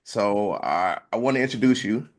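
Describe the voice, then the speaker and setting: A man's voice: fairly low pitch, lively intonation, normal speed. A man hosting an interview podcast, introducing his guest with emphatic, upbeat phrasing and some hesitation. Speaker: podcast host.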